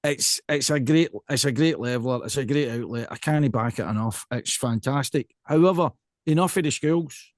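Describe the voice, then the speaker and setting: A man's voice: medium pitch, normal speed, dry recording, clean studio sound. A man with a Scottish accent hosting a casual interview, talking in an emphatic, rambling way and often checking in with the listener. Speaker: podcast host.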